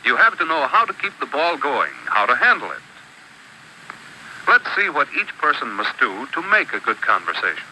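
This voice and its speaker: A man narrates an old classroom film with a very bright, crisp tone. His pitch moves a lot as he sets out each rule in a measured, instructive way. A faint hiss sits under the old soundtrack. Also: very animated, rough recording. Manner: measured, instructive, lists rules one by one. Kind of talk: scripted educational narration.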